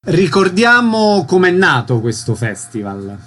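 Higher-pitched male radio host. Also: big pitch swings, unhurried, fairly bright tone, slight background noise. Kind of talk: radio presenting, interview.

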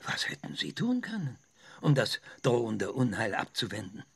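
Gravelly, sarcastic, impatient visionary. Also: male voice, medium pitch, wildly swooping pitch, moderate pace, no room sound, clean studio sound, heavily acted. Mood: lecturing. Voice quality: gravelly, husky. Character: sarcastic, impatient, embittered male visionary lecturing a sceptic. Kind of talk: radio drama acting.

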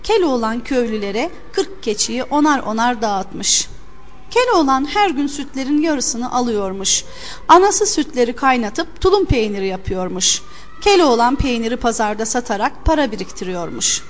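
A woman reading a scripted fairy tale for an audio storybook in an expressive, theatrical way. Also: fairly high pitch, moderate pace, a little hiss or hum. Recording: long hall-like reverb.

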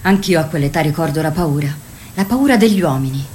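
A woman's voice: low voice, animated, a little fast, darkish tone. An actress playing a frank woman who recounts frightening girlhood memories in a radio theatre monologue. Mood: fearful, disgusted.

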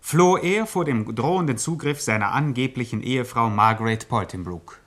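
An actor playing a wry, mock-serious narrator who addresses listeners floridly in a satirical gangster radio play. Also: male voice, medium pitch, animated, moderate pace, fairly bright tone, close to an everyday voice.

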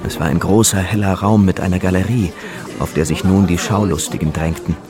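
Clear music runs under a male actor as the narrator of a radio play. His voice is low and grave, telling a suspense story in scripted prose. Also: a little fast, lightly acted. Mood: measured, descriptive.

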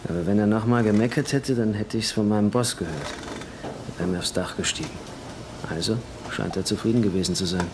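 A low-pitched, offhand garage worker acted by a man in a radio crime drama. He talks casually with a callous, guarded edge. Clear workshop noise sits behind him. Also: brisk, natural voice. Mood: defensive. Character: casual, bigoted male auto body painter.

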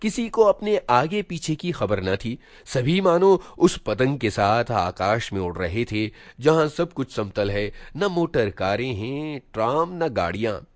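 Man, expressive literary narration, animated intonation, mid-pitched, dry sound. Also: unhurried, no room sound. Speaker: narrator reading a short story for a blog.

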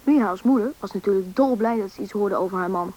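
A woman's voice: medium pitch, fast, lightly acted. A woman playing a young storyteller who narrates a rescue adventure in a scripted radio play. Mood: narrating. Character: child companion telling the rescue story looking back. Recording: dull old broadcast, faint hiss.

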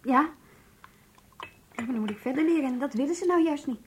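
A woman playing an earnest, lovestruck young girl in a radio play, emotional and yearning, with a breathy, husky voice. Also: high-pitched, brisk, a little hiss or hum, lightly acted. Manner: emotional, yearning, polite and shy. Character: lovestruck, earnest young schoolgirl graduate reading her diary. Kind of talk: Dutch radio play acting, diary narration and dialogue.

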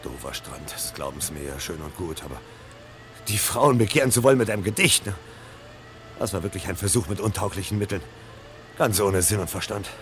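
A man's voice: deep voice, lively intonation, a little fast, fairly bright tone, slight background noise. An actor playing a troubled, self-doubting fireman in a radio drama, brooding and agitated in manner. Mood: self-reproachful. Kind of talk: 1970 German radio drama acting.

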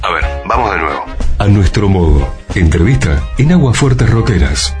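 A man's voice: deep voice, lively intonation, normal speed. A man reading a scripted radio segment announcement. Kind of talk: scripted radio jingle narration. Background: music.

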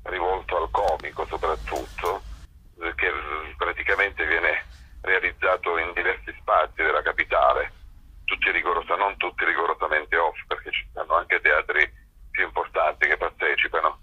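Very deep, rough, forceful man. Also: fairly even intonation, moderate pace, low-quality recording. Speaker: festival director, interview guest. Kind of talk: spontaneous radio interview.

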